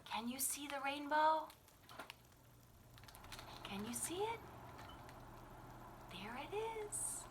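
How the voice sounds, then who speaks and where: A woman's voice: sits high, big pitch swings, drawn-out words, long pauses, noisy background. A woman reading a children's picture book aloud in a theatrical way, drawing out the refrains. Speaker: children's story reader at a library.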